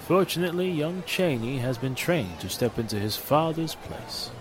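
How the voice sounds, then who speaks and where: A man's voice: fairly high pitch, lively intonation, lightly acted. An actor playing a grave, formal older businessman in a mournful 1940s radio drama style. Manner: measured, formal, deliberate. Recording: music and crowd murmur behind the voice.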